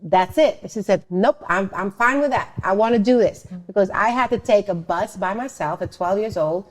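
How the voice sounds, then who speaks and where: A middle-aged woman from Suriname and the Netherlands telling their story casually, often pausing and repeating words. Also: mid-range voice. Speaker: show host and dancer telling their story.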